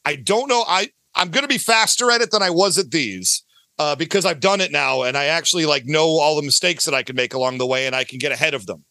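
A man's voice: on the high side, fast, sharp tone, dry recording. A man talking casually as an interview guest about his creative work, talkative and often correcting himself mid-thought. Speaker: guest, audio drama creator.

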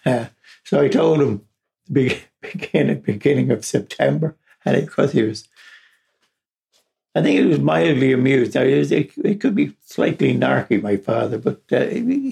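Man, Irish accent, very gravelly, deeply husky, rambling storyteller, chuckles often. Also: very animated, normal speed, a little mellow. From Ireland, raised partly in England. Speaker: podcast guest. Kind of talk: casual personal storytelling.

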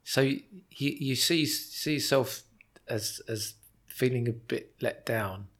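A man hosting a podcast interview, asking questions and summing up his guest's story in a measured, encouraging way. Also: medium pitch, fairly bright tone. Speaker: podcast host. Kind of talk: interview conversation.

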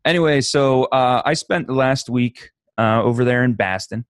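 A man's voice: mid-range voice, moderate pace, smooth, no room sound. Joking, profane male podcast host. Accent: Pittsburgh. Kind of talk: casual podcast banter.

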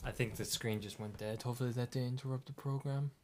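A man's voice: medium pitch, steady tone, darkish tone, a little hiss or hum. A man in a casual family chat who jokes around and trails off mid-sentence. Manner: joking, halting, trails off. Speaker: family member.

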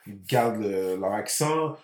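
A man's voice: fairly low pitch, expressive, measured pace, darkish tone. A man with a Quebec French accent, chatting casually and dropping English words into his sentences. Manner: mixes English words into speech. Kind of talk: casual conversational chat. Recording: roomy, echoey room.

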